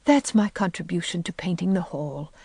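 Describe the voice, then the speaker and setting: Storybook countrywoman, meek but stubbornly hopeful, earnest and breathy, with a lilting range; a woman plays her. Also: medium pitch, sing-song, normal speed, dry recording. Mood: breathless, hopeful. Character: plump, kindly, timid optimistic woman, cowed by sister. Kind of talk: audiobook character voice.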